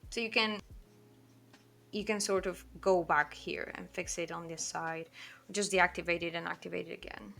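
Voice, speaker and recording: A woman from Portugal with a Portuguese accent, speaking English as a non-native language, chatting casually and quickly while she draws on a livestream, full of "like" and self-corrections. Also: lowish voice, steady tone, creaky, fairly bright tone. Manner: fast-talking, rambling, with frequent "like" and self-corrections. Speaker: artist streaming a drawing session.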